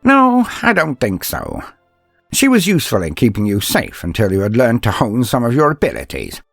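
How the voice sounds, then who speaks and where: A man voicing a stern, proud old inquisitor mentor in an audio story, speaking in a measured, commanding, instructive way. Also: mid-range voice, wildly swooping pitch, vocal fry, a bit bright, dry recording, clean studio sound, soft background music, voice pushed up, heavily acted. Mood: approving, exacting.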